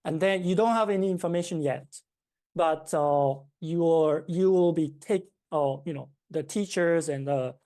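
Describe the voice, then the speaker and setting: Woman, Japanese accent, low voice, mellow tone, hesitant with fillers, interview guest. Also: moderate pace. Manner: hesitant, many fillers and restarts. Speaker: startup founder, guest. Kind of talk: explanatory interview answer.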